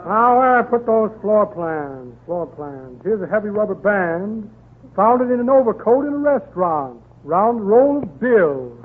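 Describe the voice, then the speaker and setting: An actor playing a pompous small-time crook in 1930s radio comedy, delivering mock-refined, drawling patter. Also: male voice, sits high, expressive, a little slow. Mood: blustery, jokey. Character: pompous chiseling crook rummaging through his pockets. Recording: muffled, noisy 1930s radio broadcast.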